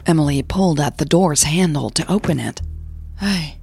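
A woman narrating a scripted romantic audio drama in a soft, measured storytelling manner. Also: low-pitched, gravelly, soft background music. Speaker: narrator and podcast host.

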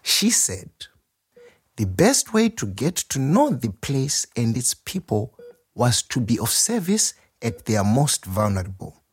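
An actor playing a proud, warm restaurant owner reminiscing in a theatrical storytelling monologue. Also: male voice, mid-range voice, a little slow, slightly rough. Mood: amused, nostalgic. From Zimbabwe. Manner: animated, chuckling, theatrical storyteller. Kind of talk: dramatised monologue, storytelling to a customer.

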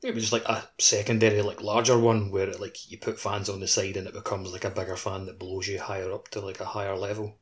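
A man's voice: lowish voice, level tone, quick pace, hardly any pauses. A man co-hosting a casual video game podcast, speaking informally and in a laconic way.

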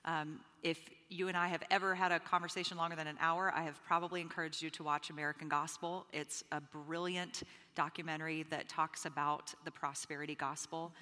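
A woman's voice: low voice, level tone, fairly quick, bright, forceful tone. A woman from the Bahamas, a guest on a live podcast, speaking in an earnest, emphatic way. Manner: emphatic, earnest, repeats words. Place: conference stage with hall. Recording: live hall reverb.

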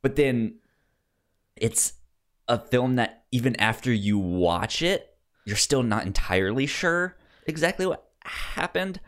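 Man, podcast co-host, unhurried pace, halting stammery delivery, medium pitch, casual conversation. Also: lively intonation, fairly bright tone. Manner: halting, stammering, repeats words and sounds. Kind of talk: casual movie discussion chat.

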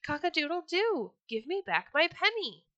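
A woman voicing a plucky, stubborn little male rooster with shrill crowing cries. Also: animated, measured pace, fairly bright tone, muffled recording. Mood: defiant crowing.

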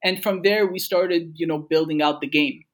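A man's voice: on the high side, moderate pace. A young man from Egypt, a game studio co-founder, telling stories in casual conversation with many fillers. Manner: relaxed storytelling with frequent fillers.

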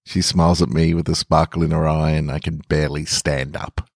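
A man reading as a bashful lovestruck guy, deep-voiced. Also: level tone, fairly quick, creaky, husky, dry recording, studio quality, full character voice. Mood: awestruck, nervous. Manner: awkward, stammering, awestruck. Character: shy, lovestruck young man narrating his birthday. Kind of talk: first-person short story reading.

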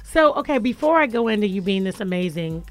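A woman with a deep, raspy voice hosting a casual radio talk show from a studio, talking with lots of hype, repetition and fillers. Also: expressive, brisk, faint music behind.

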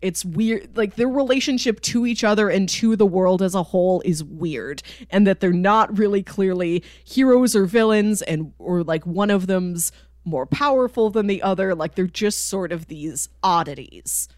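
A woman with a medium-pitched voice talks casually as a podcast host. The sound is very dry, with almost no room echo. She discusses things in an informal, conversational way. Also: moderate pace, a little mellow. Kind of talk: casual chat about a cartoon series.

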